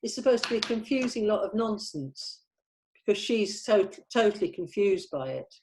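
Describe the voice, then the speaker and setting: A woman's voice: mid-range voice, lively intonation, a little mellow, slight background noise. Hesitant woman scriptwriter discussing her work. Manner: hesitant, halting, searching for words.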